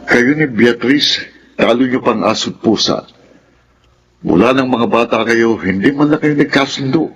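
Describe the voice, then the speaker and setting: A man acting a patient, resigned middle-aged father in a Filipino radio drama, speaking in a measured, philosophical manner. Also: mid-range voice, music in the background, lightly acted, low-quality recording. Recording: noticeable background noise.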